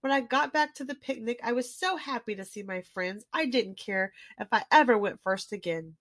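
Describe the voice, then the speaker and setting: A woman reading a children's storybook aloud in an expressive storytelling manner. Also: a little high, normal speed, no echo, studio quality. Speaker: children's book reader. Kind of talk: scripted storybook read-aloud.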